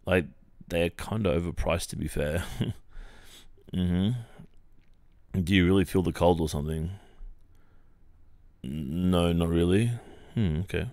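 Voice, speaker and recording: A man's voice: low voice, slow pace, long pauses, mellow, no echo, studio quality. An Australian man playing a friendly, teasing young football captain in a hesitant, casual ASMR boyfriend roleplay. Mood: shy, teasing. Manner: hesitant, casual, murmuring hums and chuckles.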